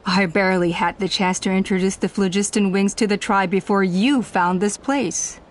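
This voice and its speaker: An actress playing a proud, self-righteous clan elder woman in a video game, speaking in measured, formal, persuasive speeches. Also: mid-range voice, steady tone, slight background noise, close to an everyday voice. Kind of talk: scripted video game voice acting. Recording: music under the voice.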